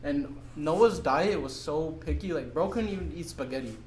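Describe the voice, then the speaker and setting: A young man in casual chat among friends, excitable and swearing, jumping in with interjections. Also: on the high side, normal speed, slight background noise. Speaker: podcast co-host. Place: room with friends around a table. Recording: echoey room with chatter behind.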